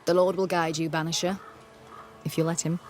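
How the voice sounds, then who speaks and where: A woman's voice: on the low side, level tone, a little fast, darkish tone, soft background music, natural voice. A woman voicing a proud, pious colonial healer with a prim, curt and formal manner in a video game. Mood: stiff, regretful.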